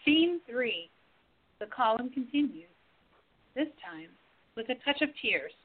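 A woman's voice: fairly high pitch, sing-song, a little slow. A woman narrating scenes and stage directions for a radio play. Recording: noisy, phone-like sound.

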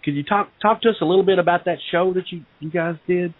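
A man's voice: high-pitched, fast talker, dry recording. A male co-host asking questions in an easygoing, jokey way and laughing often. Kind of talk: casual radio interview. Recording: dry, tinny phone line.

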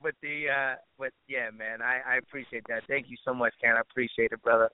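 A man's voice: mid-range voice, fairly even intonation, fairly quick, no room sound. A man, a musician guest, chatting casually and laid-back, often saying "you know". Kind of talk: casual interview chat. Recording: tinny, narrow phone line.

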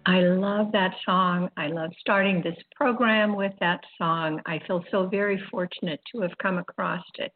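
An older woman hosting an online spiritual radio program, speaking in a reflective, informal monologue with halting, deliberate phrasing. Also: fairly low pitch, steady tone. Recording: dull, phone-like internet radio audio. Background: music.

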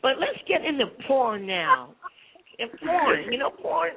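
A woman's voice: high voice, sing-song, measured pace, husky. A woman with a young-sounding voice, calling into a radio show and rambling playfully. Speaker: caller. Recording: phone line with crowd behind.

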